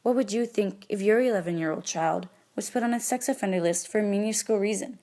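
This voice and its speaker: A woman's voice: mid-range voice, quick pace, dark tone. Woman presenting in scripted narration.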